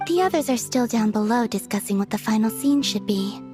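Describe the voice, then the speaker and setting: A woman voicing a gentle, dreamy young woman in a video game, soft and airy, speaking in an unhurried, earnest way. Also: fairly high pitch, animated, music in the background, lightly acted. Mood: calm.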